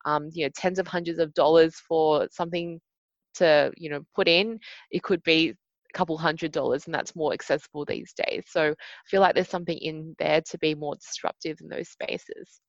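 A woman's voice: deep voice, fairly even intonation, moderate pace, no echo, dull audio. A woman speaking as a guest on a podcast over a video call, chatty and full of "you know" fillers. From Australia. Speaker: podcast guest, hackathon organiser.

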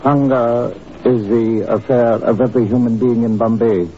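An actor playing a proud, suspicious raja who speaks in a measured, pointed way in a 1950s radio drama. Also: male voice, mid-range voice, steady tone, close to an everyday voice. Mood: coolly accusing. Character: proud, suspicious Indian raja, coolly accusing. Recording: muffled old radio recording with hiss.

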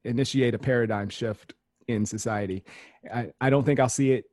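Measured man with a mellow, dry voice. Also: mid-range voice, fairly even intonation, no echo. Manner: measured, earnest, deliberate explaining. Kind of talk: casual conversation.

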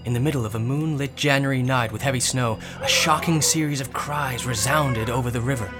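A man narrating an eerie historical radio drama as a grave storyteller, formal and deliberate in delivery. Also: mid-range voice, lightly acted. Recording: clear dramatic music underneath.

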